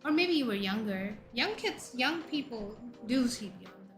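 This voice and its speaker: A woman from India with an Indian accent, a VTuber in her thirties chatting casually with her viewers and firing off questions and loud exclamations. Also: a little high, lively intonation, measured pace. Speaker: VTuber streamer. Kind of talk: casual chat reading viewer messages. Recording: faint background music under voice.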